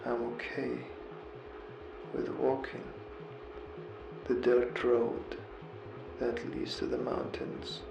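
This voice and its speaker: A man with a heavily creaky, gravelly voice speaks slowly in an earnest, confessional monologue. He halts and repeats phrases as he reflects, in a roomy, echoing space with music underneath. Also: medium pitch, completely flat delivery, dull audio, noisy background. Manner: halting, repeats words and phrases, pausing mid-sentence.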